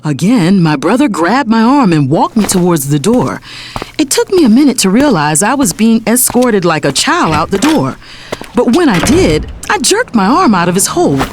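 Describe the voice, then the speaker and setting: An actress playing a fiery, exasperated lawyer and big sister, speaking emphatically in a dramatic audio story. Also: female voice, medium pitch, sing-song, normal speed, background noise, close to an everyday voice. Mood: narrating, annoyed. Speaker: audiobook narrator. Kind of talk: dramatic first-person story narration.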